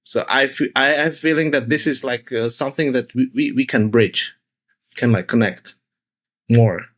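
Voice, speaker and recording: A man's voice: mid-range voice, normal speed, a bit bright. A man, a film director guest on a panel, speaking with many fillers and restarts. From Czech Republic. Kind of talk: casual panel conversation.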